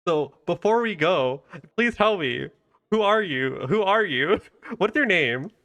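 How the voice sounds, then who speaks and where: A man hosting a casual live interview, halting as he goes and often repeating his questions. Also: high voice, animated, bright, forceful tone. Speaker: interviewer, stream host. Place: live online stream.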